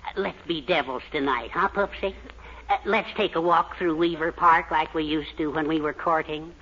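An actor playing a scheming, henpecked middle-aged husband in a 1940s radio sitcom, hesitant and stammering. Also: male voice, fairly high pitch, moderate pace, bright, forceful tone, slightly rough. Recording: dull 1940s radio broadcast with faint hiss.

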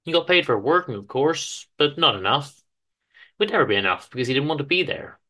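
A man giving a dramatic reading of a short story, in a dry, measured, formal manner. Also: a little high, lively intonation, bright, forceful tone. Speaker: author-narrator reading his own story on a podcast.

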